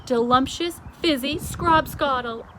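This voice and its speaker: A woman voicing a friendly, excitable giant from a children's storybook, exclaiming in muddled grammar and made-up words. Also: high-pitched, takes it slowly, noisy background, voice pushed up. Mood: gleeful.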